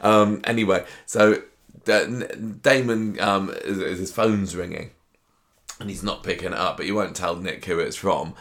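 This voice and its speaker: A man's voice: low voice, steady tone, a bit bright. A man with a sore throat recapping a soap opera in casual chat, often stopping and restarting as he mocks the characters. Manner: mocking impressions of characters, halting restarts. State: sore throat, losing his voice. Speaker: soap opera podcast host.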